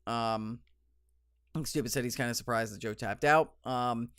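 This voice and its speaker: A man's voice: medium pitch, normal speed, fairly bright tone, clean tone, no room sound, clean studio sound. A young man with a slight cold hosting a solo podcast, reviewing wrestling in a rambling, enthusiastic way with many fillers. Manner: rambling, lots of ums and restarts, enthusiastic.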